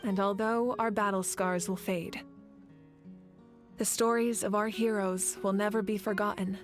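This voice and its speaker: A woman's voice: medium pitch, fairly even intonation, close to an everyday voice. Woman playing a solemn, resolute female leader over music. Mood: tender, reflective. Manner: measured, earnest, formal delivery. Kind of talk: dramatic video game voice acting. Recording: orchestral game music behind.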